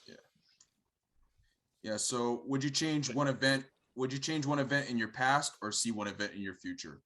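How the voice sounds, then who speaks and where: A man's voice: medium pitch, moderate pace, fairly bright tone. A man co-hosting a casual podcast, playful and joking as he asks questions.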